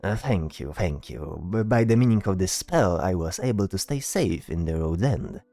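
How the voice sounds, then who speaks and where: A man's voice: low-pitched, animated, normal speed, gravelly, mellow, no room sound, soft background music, heavily acted. Man acting a chatty, swooping fantasy bard. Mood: pleased, courteous. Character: chatty, polite, hesitant male bard spellcaster. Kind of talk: fantasy audio drama dialogue.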